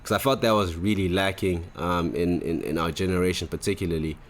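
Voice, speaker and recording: Young male podcast host, low-pitched voice, loose slangy rambling delivery. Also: brisk. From Zimbabwe. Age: 20s. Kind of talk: casual chat.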